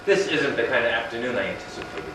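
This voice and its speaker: A man's voice: medium pitch, sing-song, quick pace, lightly acted. An actor playing a bewildered, needy man in a stage play, plaintive and defensive. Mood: hurt, defensive. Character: bewildered, needy man being needled on a park bench. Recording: roomy, distant, noisy live stage recording.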